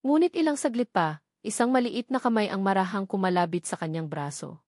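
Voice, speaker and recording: A woman's voice: medium pitch, brisk, darkish tone, no room sound, studio quality. A woman with a Filipino accent giving a dramatic scripted narration of a romance story.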